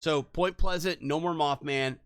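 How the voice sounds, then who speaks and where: A man's voice: on the high side, a little slow, fairly bright tone, clear, resonant tone, no room sound, studio quality. A man co-hosting a podcast, chatting casually, skeptical and joking as he keeps urging his co-host to go on. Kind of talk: casual chat.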